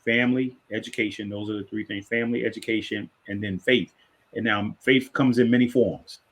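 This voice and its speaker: Man, podcast host, halting, rambling, repetitive. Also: fairly low pitch, steady tone. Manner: rambling, halting, repeats words. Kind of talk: interview question.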